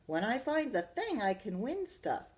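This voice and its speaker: A woman reading a storybook, voicing an eager, pleading and excitable young boy character. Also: on the high side, measured pace, pitched above the performer's own voice. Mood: anxious, insistent.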